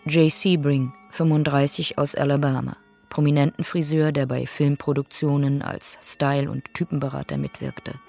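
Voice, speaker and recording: A woman's voice: low voice, level tone, normal speed, creaky, hardly any pauses, a little mellow, soft background music. A woman narrating victims' profiles in a radio play, in a clipped, factual, sober manner.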